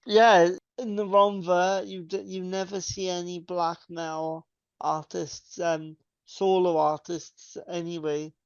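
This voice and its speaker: A man's voice: high voice, flat delivery, takes it slowly, smooth, dry recording, dull audio. A young man co-hosting a podcast in casual chat, rambling with many fillers and restarts. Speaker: podcast co-host.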